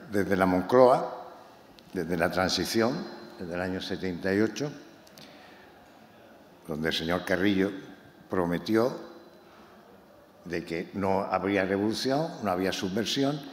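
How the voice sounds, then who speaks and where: Impassioned halting man in an echoey hall. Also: deep voice. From Málaga, Spain. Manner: impassioned, halting, repeats words. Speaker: novelist presenting his book. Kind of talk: public book presentation talk. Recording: echoey hall, faint room noise.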